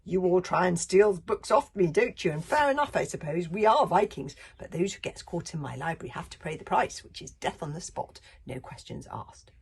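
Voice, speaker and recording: A woman's voice: fairly low pitch, lively intonation, normal speed, gravelly, few pauses, husky, heavily acted. A woman voicing a hairy, scary male librarian villain with a hissing, whiny voice and broken grammar. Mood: menacing. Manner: screechy, mangled grammar.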